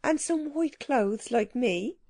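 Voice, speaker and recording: A woman's voice: a little high, expressive, takes it slowly, a little mellow, dry recording, clean studio sound, exaggerated voice. A woman voicing a bold, chatty working-class cook who has become an island queen, talking in common dialect.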